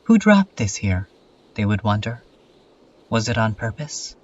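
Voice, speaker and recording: A man playing a morbid, depressed loner who declaims a bleak, deliberate journal monologue in a fan dub. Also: fairly low pitch, very animated, distant mic, dull audio. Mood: bleak, wistful. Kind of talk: dramatic reading in a horror comedy fan dub. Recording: music under the voice.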